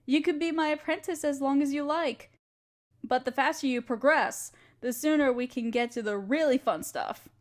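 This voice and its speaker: A woman playing a kind, patient alchemist who gently teaches and reassures an apprentice in an intimate audio roleplay. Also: sits high, normal speed, close to an everyday voice. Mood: friendly, enthusiastic. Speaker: voice actor in a scripted audio roleplay. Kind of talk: intimate scripted roleplay, one-sided conversation with listener.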